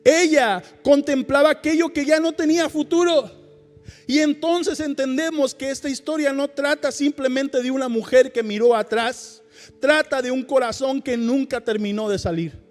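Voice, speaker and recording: Male pastor, high-pitched, fervent and emphatic in sermon and prayer. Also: in a large hall. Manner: fervent, emphatic preaching with repeated calls of "Señor". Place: church service.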